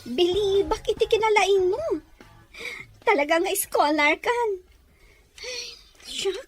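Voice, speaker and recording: A woman's voice: very high-pitched, expressive, slow, soft background music, full character voice. A woman with an Ilocano Filipino accent voicing a girlish young student and close companion in a radio drama. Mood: friendly.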